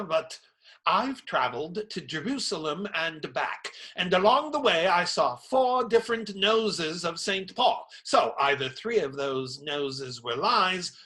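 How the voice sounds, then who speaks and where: Radio play friar, male actor: bright forceful voice, unhurried, sardonic, irreverent. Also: on the high side, expressive. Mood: cynical, candid. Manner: hesitant, dry, sardonic. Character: Friar Tuck, cynical, blunt, irreverent male priest.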